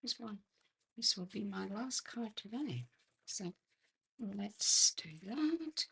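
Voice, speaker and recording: Female Australian craft host, gravelly and croaky, slow and chatty, talking through hands-on work live. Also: medium pitch, animated, pushed and bright. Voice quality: husky, croaky. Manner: unhurried, chatty, murmuring to herself while working. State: croaky voice, clearing throat. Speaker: host of a craft demonstration livestream. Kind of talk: casual live craft tutorial, talking while working.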